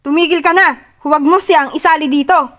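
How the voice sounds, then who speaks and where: A woman playing a young man in a storybook reading, cold and impatient, his lines clipped and pushing toward a shout. Her voice stays high, well above the usual for a man. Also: steady tone, quick pace. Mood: stern.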